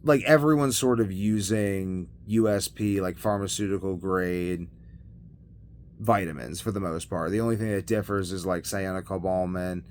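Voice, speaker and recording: A man talking casually as a video blogger in a rambling monologue, halting and often trailing off mid-thought. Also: low voice, a little mellow. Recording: noticeable background noise.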